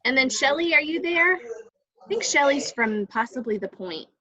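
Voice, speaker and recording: Bright, high-voiced woman on a video call. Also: animated, moderate pace, dull audio. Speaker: virtual event coordinator. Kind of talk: casual organizing talk on video call.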